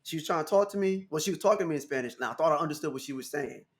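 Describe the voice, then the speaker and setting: An American man in his thirties, a guest musician telling stories in a casual interview, animated and laughing often. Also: very fast. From United States. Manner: animated, laughing, jumps into high voice for quotes.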